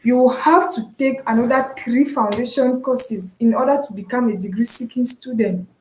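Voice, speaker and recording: A young woman from Cameroon with a Cameroonian English accent, chatting casually and encouragingly about her online studies, often pausing with "um". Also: fairly high pitch, fairly even intonation, darkish tone, reverberant, slight background noise, poor audio. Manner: chatty and encouraging, with frequent "um" and restarts. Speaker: vlogger and online student. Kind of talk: casual explanatory vlog.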